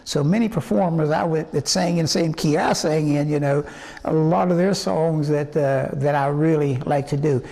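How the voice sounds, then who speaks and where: A man in his eighties from West Virginia, a singer telling his life story in an interview in a halting, rambling way full of fillers and restarts. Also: fairly high pitch, vocal fry, darkish tone. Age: 80s. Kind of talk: reminiscing interview answers.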